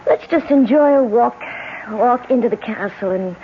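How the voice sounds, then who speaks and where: An actress playing a sensitive, anxious young American bride in a radio drama. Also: female voice, a little high, moderate pace, a little hiss or hum, lightly acted. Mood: eager. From United States. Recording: tinny old radio broadcast, music behind.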